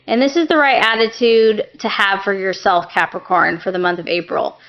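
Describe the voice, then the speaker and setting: A woman speaks as a tarot reader, with a medium-pitched voice. She gives gentle, reassuring guidance in an informal, conversational way. The recording is a little dull and lo-fi. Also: normal speed. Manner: gentle, reassuring advice-giving. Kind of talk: informal spoken tarot reading. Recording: dull lo-fi sound.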